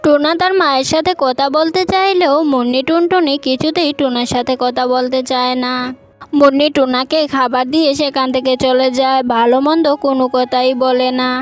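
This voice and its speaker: A woman's voice: high voice, steady tone, moderate pace, faint music behind. A woman narrating a scripted children's cartoon story.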